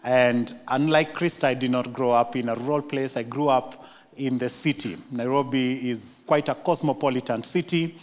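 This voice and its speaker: A middle-aged man with a Kenyan accent speaks as a pastor in a church sanctuary. He is friendly and laughs easily, often stopping to restart a phrase. His mid-pitched voice carries a long hall reverb. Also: fairly even intonation, normal speed. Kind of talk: church presentation with slides.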